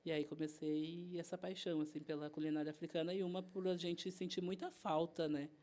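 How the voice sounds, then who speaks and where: A woman's voice: deep voice, steady tone, a little fast, mellow. A woman guest chef with a southern Brazilian accent, telling stories in a casual interview and acting out bits of dialogue as she goes. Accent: southern Brazilian Portuguese. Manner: chatty, retells events by acting out dialogue.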